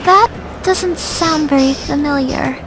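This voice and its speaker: A woman voicing a shy, soft-spoken teenage anime girl in a visual novel game, with a hesitant manner. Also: high voice, slow pace, poor audio. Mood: shy, polite. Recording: music playing behind.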